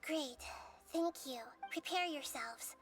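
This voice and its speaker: A woman voicing a gentle, wise young girl deity in a video game, speaking in a measured, formal, soft way. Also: sits high, music in the background. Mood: kindly.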